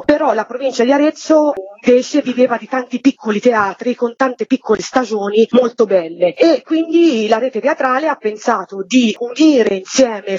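A woman answers as an interview guest, explaining things in long, flowing detail. Her voice sits fairly high and has a husky grain. The recording is dry and somewhat dull and lo-fi. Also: a little mellow, dry recording. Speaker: theatre project organiser, interview guest. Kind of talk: spoken radio interview, explanatory.

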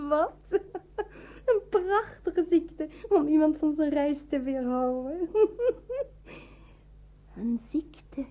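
An actress playing a proud, unhinged noblewoman in a Dutch radio play, with theatrical, drawn-out delivery. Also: sits high, dark tone. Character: proud, unhinged noblewoman defiantly mocking talk of plague. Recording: dull vintage radio recording.

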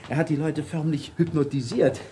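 A man's voice: a little high, normal speed, a little hiss or hum, natural voice. An actor playing a worldly, amused, cynical bourgeois ex-revolutionary, telling his stories expansively and sardonically in a radio drama. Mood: animated, amused. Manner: sardonic, animated, expansive storyteller. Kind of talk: 1970s German radio drama acting.

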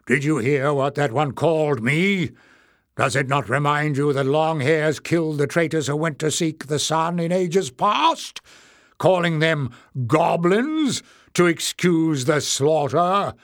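A man voicing an angry, suspicious and stubborn male leader of an underground folk in a storybook, sneering and forceful in manner. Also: a little high, slow pace, clear, resonant tone, no room sound, studio quality, voice pushed up. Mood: scornful. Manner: sneering, shouting in anger.

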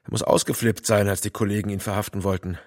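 An actor playing a grim, frustrated police detective in an audio drama, matter-of-fact, with a gravelly, husky voice. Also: male voice, on the low side, fairly even intonation, quick pace, dry recording, studio quality. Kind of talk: German audio drama acting.

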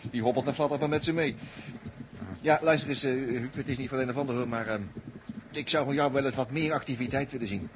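A man's voice: medium pitch, steady tone, fast, close to an everyday voice. A man plays a sardonic, chatty spaceman in an old radio serial. His middling voice runs on with impatient, needling banter. The vintage recording has slight noise. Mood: wry, reproachful. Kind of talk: 1960s Dutch radio play acting.

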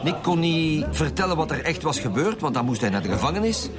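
Lively Flemish police commissioner. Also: male voice, a little high, a little fast, crowd noise. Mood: relaxed, explaining. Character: male police commissioner, wry, commanding, informal. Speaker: police commissioner, lead detective. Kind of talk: Flemish radio drama acting.

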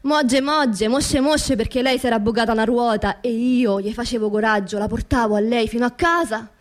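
A woman with a high voice and a Roman accent tells a story as a theatrical radio monologue. Her language is colloquial and earthy, and she leans on words by saying them again and again. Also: steady tone, moderate pace. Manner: emphatic repetition of words and phrases. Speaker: stage actress performing her own monologue.

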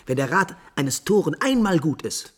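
A haughty, displeased prince in an old classical radio drama. The voice is husky and moves widely in pitch, with formal, reproachful phrasing. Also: male voice, sing-song, normal speed. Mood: bitter reproach. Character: displeased, disdainful prince brooding over a failed scheme. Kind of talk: mid-century German classical radio play acting.

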